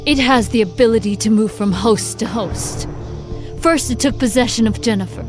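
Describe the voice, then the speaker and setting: A woman's voice: fairly high pitch, moderate pace, over music, natural voice. A woman playing a resolute, grave supernatural investigator in an audio drama, firm and knowing. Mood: grave, defiant.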